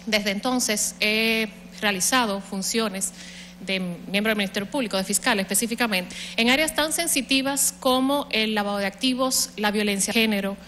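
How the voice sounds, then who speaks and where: A woman in her thirties with a Dominican accent, a prosecutor and judicial candidate giving a formal statement at a public hearing, measured and often pausing on "eh". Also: mid-range voice, sharp tone. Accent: Dominican Spanish. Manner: formal and measured, with frequent hesitant "eh" fillers. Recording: echoey hall with faint hum.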